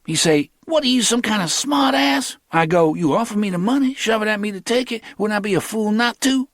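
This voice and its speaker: A high, lively voice plays a streetwise young hustler, quick and clipped in his street talk, boastful and swinging all over in pitch. The reading is close and dry. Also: dry recording. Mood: animated. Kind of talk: audiobook character reading.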